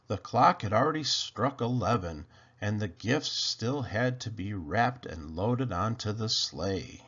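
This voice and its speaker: A man with a gravelly, husky voice narrating a children's story in an expressive read-aloud style. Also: on the low side, a little slow, muffled recording. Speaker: storybook reader.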